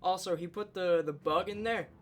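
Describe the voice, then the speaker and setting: High-voiced man giving offhand game commentary. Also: steady tone, normal speed. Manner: offhand, dryly wry. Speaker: gameplay video creator. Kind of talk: casual let's play commentary. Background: game music.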